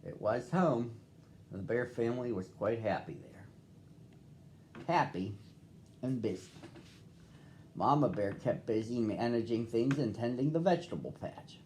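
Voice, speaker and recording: A man reading a picture book aloud to children as a storyteller, with expressive phrasing and drawn-out words. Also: medium pitch, a little hiss or hum. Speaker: storybook reader.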